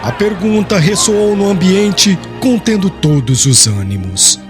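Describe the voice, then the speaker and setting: Guy reading a solemn audiobook, pretty high voice that moves around a lot, music behind. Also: lively intonation, unhurried. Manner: solemn, formal storytelling. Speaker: audiobook narrator. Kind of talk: scripted literary narration.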